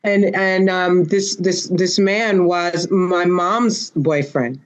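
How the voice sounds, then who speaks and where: A woman's voice: fairly low pitch, steady tone, takes it slowly. Candid woman in casual panel talk. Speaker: podcast panelist.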